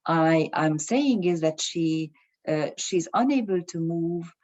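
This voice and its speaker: A woman's voice: lowish voice, animated, slow pace, darkish tone, muffled recording. A woman, an astrologer explaining ideas to her viewers in a hesitant way, with many fillers and restarts. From Germany. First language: Hungarian. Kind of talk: casual talk to camera.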